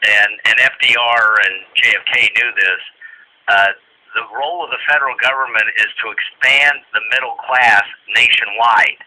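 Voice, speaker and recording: A man in a political discussion, explaining his points in halting phrases with frequent pauses. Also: medium pitch, sharp tone, low-quality recording.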